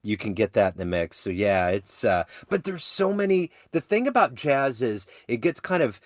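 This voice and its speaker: A man's voice: mid-range voice, normal speed, no room sound, clean studio sound. A man hosting an interview on a video call, a longtime jazz radio presenter who talks in an easygoing, enthusiastic way with jazz slang. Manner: relaxed, enthusiastic jazz talk with slang like "cats" and "dig". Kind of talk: casual conversational interview.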